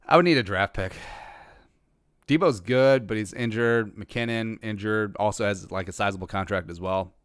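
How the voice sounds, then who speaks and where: Man, rural Minnesota origin, lowish voice, dry recording, livestream host, rambling casual Q&A. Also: moderate pace, clean tone, no echo. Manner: rambling, restarts often, dry self-aware humor. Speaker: podcast host answering live chat.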